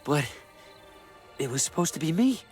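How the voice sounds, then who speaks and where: An earnest, harried theater dad in a video game. His mid-range voice swings very widely in pitch, with faint music underneath. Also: sing-song, moderate pace. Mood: defeated. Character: earnest, harried father running a small theater. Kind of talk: dramatic game dialogue.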